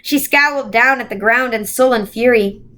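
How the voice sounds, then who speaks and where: A woman reading a children's novel aloud as scripted, expressive storybook narration. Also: on the high side, normal speed. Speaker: volunteer storybook reader.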